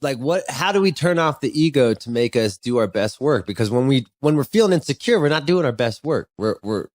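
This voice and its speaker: A man in his thirties hosting a podcast, his pitch swinging up and down a lot as he asks eager, probing questions. The recording is dry and close. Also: animated, fairly quick, a little mellow, no echo. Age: 30s. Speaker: podcast host. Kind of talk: casual interview chat.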